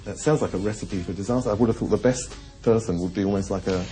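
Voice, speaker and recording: Fast-talking, deep-voiced male interviewer. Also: dark tone, faint music behind. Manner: pointed, challenging questioning. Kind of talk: probing interview questions.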